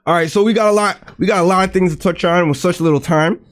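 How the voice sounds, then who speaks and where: A man's voice: high-pitched, quick pace. A man co-hosting a casual podcast, blunt and profane, and he repeats his points. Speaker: podcast co-host.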